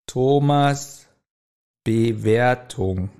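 A man's voice: mid-range voice, very slow, mellow, clear, resonant tone. A middle-aged man co-hosting a podcast, chatting in a casual, colloquial and jokey manner. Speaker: podcast co-host. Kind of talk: casual chat.

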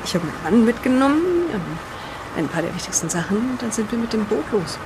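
A woman playing a shaken, distraught wife in an improvised audio drama, telling her story in a halting, confiding way. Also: mid-range voice, animated, noisy background, lightly acted. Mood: anxious, confessing.

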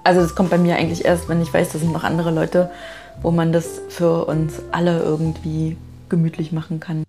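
A woman's voice: low-pitched, monotone, a little fast, over music. A woman in a casual, spontaneous chat, sharing her own habits and putting questions to her conversation partner.

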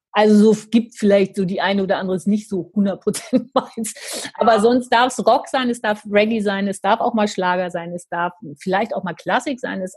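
Husky, effusive woman host. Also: mid-range voice, a little mellow. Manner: effusive, rambling, many fillers. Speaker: podcast host. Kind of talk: casual interview chat.

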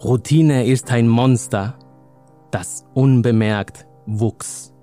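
A man's voice: mid-range voice, steady tone, drawn-out words, dark tone, faint music behind. A man in his thirties from Spain, speaking German with a Spanish accent as his second language, a poet guest in an interview who talks thoughtfully, searches for words and laughs easily. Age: 30s. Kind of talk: interview, reading own poem.

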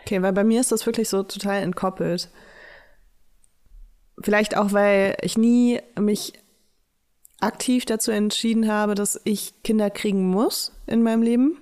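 Woman in her late twenties, podcast co-host, reflective and colloquial, medium pitch. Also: steady tone, measured pace, darkish tone. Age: 20s. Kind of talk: casual podcast chat.

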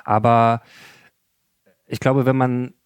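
A man of average pitch speaks as a guest on a casual podcast. He gropes for words, pausing on fillers and ending thoughts with small tag questions. His voice sits close and dry on the mic. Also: little pitch movement, smooth, dry recording. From Berlin.

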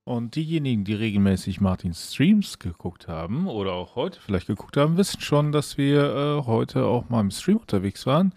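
A man's voice: medium pitch, very animated, normal speed, hardly any pauses, darkish tone, dry recording. A man co-hosting a podcast in casual conversation. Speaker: podcast co-host.

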